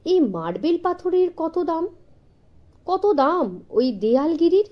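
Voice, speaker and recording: Woman doing a nervous stingy guy in a kids story, bewildered, high voice, kinda dull recording. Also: sing-song, takes it slowly, exaggerated voice. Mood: calculating awe. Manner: hesitant, flustered. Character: timid, bewildered, miserly middle-class man.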